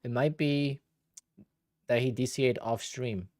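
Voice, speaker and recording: A man's voice: mid-range voice, unhurried, no room sound, clean studio sound. A man in his thirties from Poland, a day trader chatting casually and rambling as he answers viewers on a live stream. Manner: relaxed, rambling, thinks aloud with restarts.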